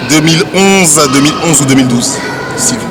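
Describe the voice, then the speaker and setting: A young man from French Guiana, a dancer, answering interview questions in a relaxed, repetitive way outdoors on a city street. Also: on the high side, fairly quick. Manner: relaxed, repeats himself, drops to a mumble at phrase ends. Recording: street interview with loud music behind. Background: loud music.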